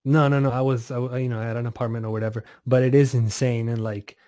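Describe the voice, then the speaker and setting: A man in his twenties from Italy, a music producer chatting casually as a guest, relaxed and often laughing, with many fillers. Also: mid-range voice, fast, no echo, clean studio sound. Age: 20s. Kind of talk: casual interview over a call.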